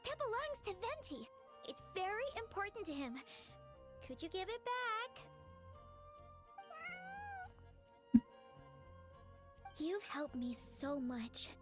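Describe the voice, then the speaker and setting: Very high-voiced, shy young bard girl. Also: big pitch swings, a little slow, music in the background, exaggerated voice. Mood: flustered, apologetic. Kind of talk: video game voice acting.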